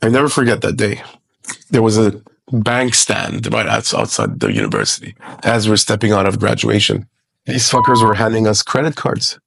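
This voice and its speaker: A man's voice: on the low side, expressive, normal speed, gravelly, a bit bright, husky. A man in his forties from Lebanon, a guest investor giving blunt, profane, emphatic advice in a casual podcast interview. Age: 40s.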